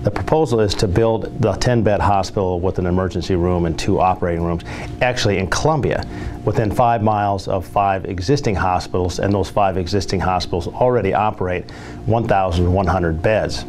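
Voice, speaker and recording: A man speaking as a hospital representative, delivering a prepared, persuasive public address in a measured, formal, explanatory manner. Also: sits low, fairly even intonation, darkish tone, faint music behind.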